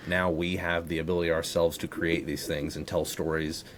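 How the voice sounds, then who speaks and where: A man with a low voice and a very flat, even delivery chats as a podcast host. He rambles conversationally through his thoughts, with a faint rumbling noise behind him. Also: normal speed. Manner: rambling, conversational, frequent "you know". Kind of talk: casual chat.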